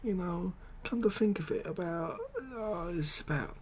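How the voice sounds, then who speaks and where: A man with an English accent playing a hapless, well-meaning but dim world president in a soft comedy ASMR roleplay, hesitant, pleading and repeating himself. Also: high voice, measured pace, husky. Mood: bewildered, pleading.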